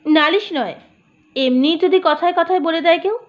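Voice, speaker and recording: A woman's voice: very high voice, moderate pace, dull audio. A woman reading the lines of a bashful, anxious young woman in love in an audiobook story. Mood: shy. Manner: bashful, hesitant.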